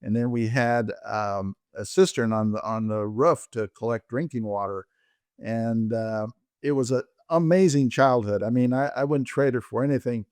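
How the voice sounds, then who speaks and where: A man in his sixties or older who grew up in Colombia, telling his own story conversationally in a halting way. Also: medium pitch, darkish tone, clear, resonant tone, no echo. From Colombia, raised by American parents. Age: 60s or older. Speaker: interview guest, orthopedic surgeon.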